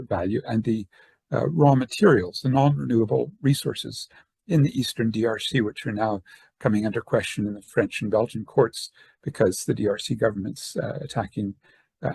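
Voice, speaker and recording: Older man with a husky voice. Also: mid-range voice, darkish tone, dry recording. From born in Northern Ireland, raised in the southern United States. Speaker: academic and activist giving a talk. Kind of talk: semi-formal conference presentation. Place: online video call.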